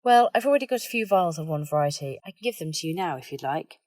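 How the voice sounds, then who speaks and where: A woman voicing a practical, prim, defensive young witch healer in an audiobook, brisk, precise and easily flustered. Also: deep voice, lively intonation, darkish tone, no room sound, studio quality, distant mic. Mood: helpful, practical. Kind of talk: audiobook character dialogue.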